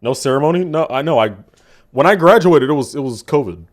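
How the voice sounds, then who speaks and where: Animated young man streaming games. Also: fairly high pitch. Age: 20s. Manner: animated, laughing, swearing, quick asides. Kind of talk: casual live-stream chat with chat.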